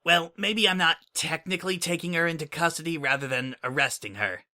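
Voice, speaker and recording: A man's voice: a little high, brisk, sharp tone, voice pushed up. A man voicing a brash, smug female pony spy in a fan audiobook reading, speaking in a flippant, mocking way.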